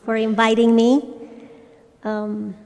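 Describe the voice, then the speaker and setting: A woman in her forties from Romania, sharing her personal story at a recovery fellowship meeting in a hesitant way, with frequent pauses and restarts. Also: fairly high pitch, monotone, gravelly, darkish tone. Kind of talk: personal testimony talk. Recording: long reverb from a large hall.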